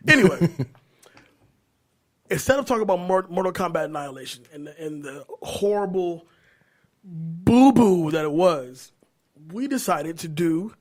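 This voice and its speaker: Guy with a pretty high voice cohosting a podcast, slangy and animated, repeats himself to make a point. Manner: animated slangy talk, repeats phrases for emphasis. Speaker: podcast co-host. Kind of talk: casual pop-culture chat.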